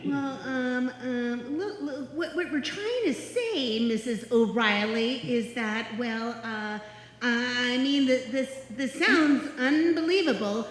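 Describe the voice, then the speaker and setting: A woman's voice: high-pitched, takes it slowly. A woman playing a sensible but flustered young tenant in live old-time radio comedy, hesitant and exasperated. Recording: echoey hall, live stage performance.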